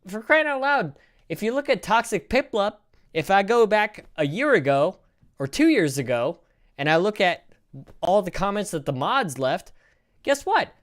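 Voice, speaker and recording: A man in his thirties hosting a casual live stream, reacting in a rambling, profane, animated way. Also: sits high, moderate pace. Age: 30s.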